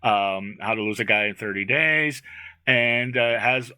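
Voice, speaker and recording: Sharp-voiced male comedy show host. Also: medium pitch, unhurried, smooth, no room sound. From born in Brooklyn, moved at three. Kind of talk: casual bantering interview.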